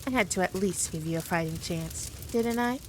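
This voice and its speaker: A woman's voice: fairly low pitch, moderate pace, slight background noise, close to an everyday voice, rough recording. A woman playing a powerful demon queen in a scripted roleplay, talking down to the listener in a teasing, dominant, condescending way. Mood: smug, amused. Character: haughty, playful, all-powerful female demon queen.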